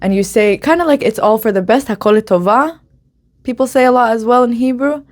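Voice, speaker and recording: A woman's voice: on the high side, a little fast. A woman giving a casual video lesson on slang, chatty and upbeat, laughing often and dropping in foreign phrases. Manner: drops in Hebrew phrases. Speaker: Hebrew slang teacher.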